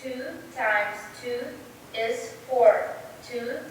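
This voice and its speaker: A woman's voice: on the high side, steady tone, drawn-out words. A woman playing a droning teaching voice, reciting by rote in an amateur student play. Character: droning instructor's voice reciting times tables. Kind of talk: amateur student stage acting. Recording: echoey stage, distant mic, faint noise.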